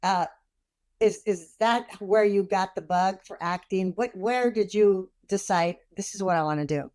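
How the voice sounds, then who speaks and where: A woman interviewer asking questions in a friendly, somewhat halting way with fillers. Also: medium pitch, a little mellow.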